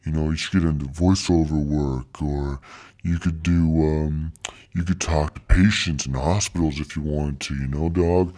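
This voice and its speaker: A man playing a laid-back, boastful voice-over guy with a thick deep voice and slangy drawl, in a comic podcast interview. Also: steady tone, moderate pace, warm tone. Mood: cool, boastful. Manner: drawling slangy swagger, punctuated with "dog". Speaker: podcast guest and voice-over artist.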